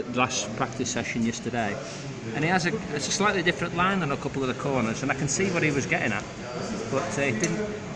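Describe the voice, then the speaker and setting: A man's voice: medium pitch, far from the mic. A middle-aged man chatting casually at a motorcycle race track, rambling with fillers and restarts. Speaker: motorcycle track day vlogger. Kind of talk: casual vlog chat. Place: paddock garage at a race track.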